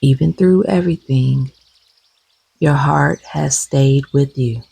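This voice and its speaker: A woman leading a guided meditation gently and soothingly, with soft, breathy, husky tones and long unhurried pauses. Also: a bass voice, no room sound.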